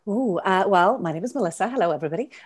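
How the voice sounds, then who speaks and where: A woman's voice: medium pitch, expressive, racing, dry recording. A woman with a Welsh accent, a playwright and director, talking expansively and chattily as a guest in an interview. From Wales. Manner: chatty, expansive, laughs often. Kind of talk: podcast interview.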